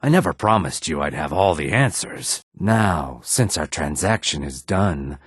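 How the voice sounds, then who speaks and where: A sly reptilian fence from a fantasy video game, speaking very low with a husky rasp. He is wary and self-serving, a guarded, slightly haughty dealer. The sound is close and dry. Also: animated, a little slow, no room sound, exaggerated voice. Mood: guarded, explaining. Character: shady male lizard-man fence, wary, sly, self-serving merchant. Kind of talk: scripted video game character dialogue.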